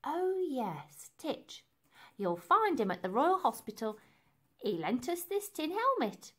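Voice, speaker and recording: A woman voicing a bossy, quick-witted young girl in a storybook, speaking in a brisk, emphatic, instructing way. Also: sits high, sing-song, voice pushed up, heavily acted. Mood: confident, matter-of-fact.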